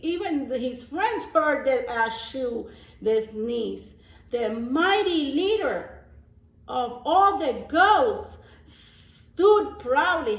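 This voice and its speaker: A woman reading a storybook aloud as a teacher of young children, pausing for simple explanations and repeating phrases. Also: sits high. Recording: roomy echo, distant microphone.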